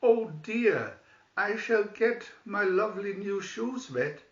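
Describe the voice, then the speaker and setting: Man putting on a dainty mouse princess, high, echoey. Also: animated, slow, full character voice. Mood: dismayed. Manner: dainty, sighing. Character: tiny timid young female mouse princess. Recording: echoey room, distant dull microphone.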